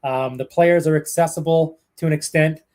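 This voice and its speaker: A young man with a Canadian accent talks as an interview guest, rambling through behind-the-scenes stories. His voice sits a bit high for a man. He fills pauses with ums and uhs and restarts his sentences often. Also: steady tone, a little mellow. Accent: Canadian English. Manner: chatty storyteller, many fillers and restarts. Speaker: hockey play-by-play broadcaster, guest. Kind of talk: casual interview answers.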